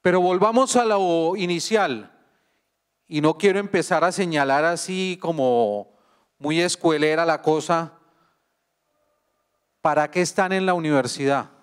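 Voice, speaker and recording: Slow Colombian man lecturing in a hall. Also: fairly high pitch, clear, resonant tone. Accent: Colombian Spanish. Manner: slow, deliberate, addresses audience with questions. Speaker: guest speaker. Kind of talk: university lecture. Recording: long hall reverb.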